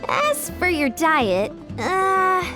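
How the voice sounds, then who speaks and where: A woman's voice: extremely high pitch, wildly swooping pitch, drawn-out words, music in the background, full character voice. A woman voicing a confident, supportive young fitness coach in a video game, assured and instructive. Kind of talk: English video game voice acting.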